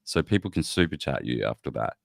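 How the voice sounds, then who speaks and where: Very low-voiced male host, relaxed, conversational, dry close recording. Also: fairly quick, gravelly, no echo. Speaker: podcast host. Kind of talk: casual conversation.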